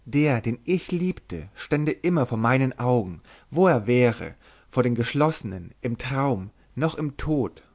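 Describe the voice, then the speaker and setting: A man's voice: medium pitch, expressive, unhurried, darkish tone. A man reading a storybook, voicing a bold young witch-maiden who is sly, confiding and commanding. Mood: triumphant. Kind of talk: dramatic literary audiobook reading.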